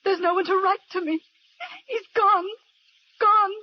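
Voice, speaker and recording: A woman's voice: very high voice, steady tone, unhurried. An actress playing a lonely, fragile young expectant mother with a wistful, theatrical delivery in an old radio drama. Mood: grief-stricken. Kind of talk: 1940s radio drama acting. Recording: muffled, noisy vintage radio recording.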